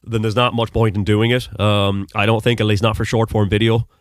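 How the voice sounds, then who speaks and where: A man's voice: on the low side, level tone, fairly quick, no room sound, clean studio sound. Irish man with a neutral, American-tinged accent. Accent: Irish with American-sounding notes. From Ireland, Mullingar, Westmeath. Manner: rambling, many ums and restarts. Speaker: podcast host being interviewed. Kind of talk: casual conversational interview.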